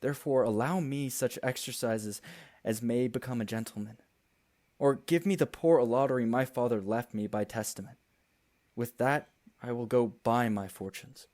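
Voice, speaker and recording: A man's voice: medium pitch, moderate pace, a little mellow, close to an everyday voice. Guy playing a wronged young noble in a shakespeare audio play, impassioned, declaiming, defiant. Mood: angry, defiant. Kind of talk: Shakespearean radio play acting.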